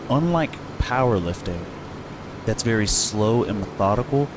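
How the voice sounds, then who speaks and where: A man's voice: lowish voice, noisy background. Rambling young man streaming a game. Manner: rambling, chatty, lots of fillers and self-corrections. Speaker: gaming streamer. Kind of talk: casual chat while streaming a farming game, answering chat.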